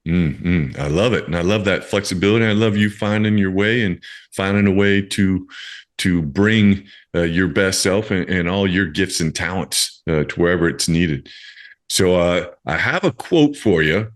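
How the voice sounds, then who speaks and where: Guy hosting a podcast, pretty deep voice, effusive and chatty, talks right to the listeners. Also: moderate pace. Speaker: podcast host. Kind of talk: upbeat scripted introduction mixed with casual chat.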